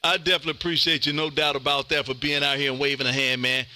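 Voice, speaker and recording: A man's voice: mid-range voice, level tone, fast talker, pushed and bright, no room sound, studio quality. An American man hosting an amateur radio show, chatting on air in an exuberant, folksy, emphatic way. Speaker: ham radio show host.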